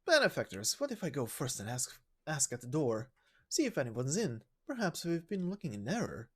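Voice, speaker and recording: A man's voice: medium pitch, wildly swooping pitch, normal speed, slightly rough. A man voicing a polite, eager young game character with a courteous, formal, slightly hesitant way of speaking.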